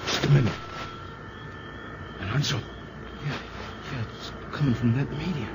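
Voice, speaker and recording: An actor playing a frightened, urgent sheriff's deputy in a melodramatic 1950s radio drama. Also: male voice, medium pitch, a little slow, gravelly, background noise. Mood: tense.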